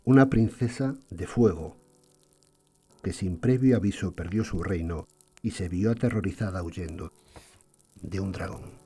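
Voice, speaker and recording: A man playing a solemn storyteller, narrating a fairy tale in a measured, dramatic way. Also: deep voice, warm tone, slightly rough.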